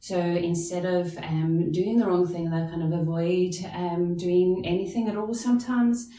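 A middle-aged woman from South Africa with a South African accent, a social worker speaking thoughtfully with many pauses on a podcast panel. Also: steady tone, mellow. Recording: echoey room with faint rumble.

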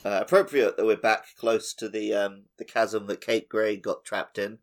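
A man's voice: lowish voice, little pitch movement, moderate pace, background noise. A man chatting casually as a podcast host.

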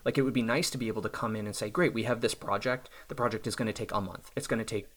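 A man in his thirties, a self-employed software developer, talking casually and thoughtfully about his work, often restarting his sentences. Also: on the low side, racing. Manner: thoughtful, reflective, with restarts and small laughs. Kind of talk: casual podcast conversation.

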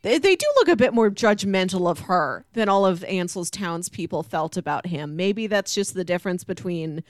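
A woman's voice: lowish voice, lively intonation, normal speed, hardly any pauses, no room sound, studio quality. A woman hosting a podcast, chatting casually and informally in a musing way about books. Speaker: podcast host. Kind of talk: casual book discussion chat.

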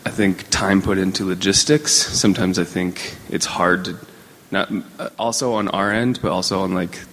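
Man, very low pitch, loud voice, halting delivery, hall echo. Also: steady tone. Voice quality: loud, carrying voice. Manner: hesitant, piecing thoughts together. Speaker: discussion participant. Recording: echoey hall.

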